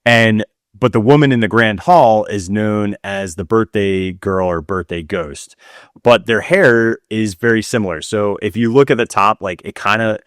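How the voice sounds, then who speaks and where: Man, low-pitched, dry close sound, casual co-host, conversational discussion. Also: normal speed, fairly bright tone, clean tone, no room sound. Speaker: podcast co-host. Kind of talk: casual chatty discussion of listener questions.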